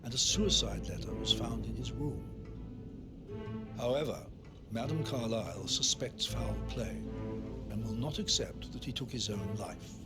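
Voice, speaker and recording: A man's voice: medium pitch, unhurried, over loud music, lightly acted. A man playing a discreet, deferential butler in a video game, speaking formally and politely with some stiffness. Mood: discreet, solemn. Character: discreet, deferential male butler of a grand manor. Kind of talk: video game cutscene acting.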